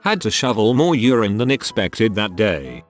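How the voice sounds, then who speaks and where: A man reading out online stories as scripted narration, in a lively, expressive storytelling manner, with faint music underneath. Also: lowish voice, normal speed.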